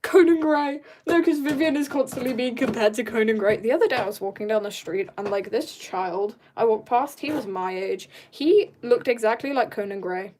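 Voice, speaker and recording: A woman's voice: on the high side, moderate pace, few pauses, darkish tone, slight background noise. A young woman chatting casually on an art livestream, rambling and slangy as she jumps between asides in a giggly way. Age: teenager or young adult. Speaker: streamer drawing and chatting.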